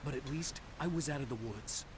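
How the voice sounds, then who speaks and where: An actor playing a brooding, haunted writer who narrates his ordeal gravely in a thriller video game. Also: medium pitch, fairly quick, heavy background noise, close to an everyday voice. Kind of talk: scripted first-person thriller narration.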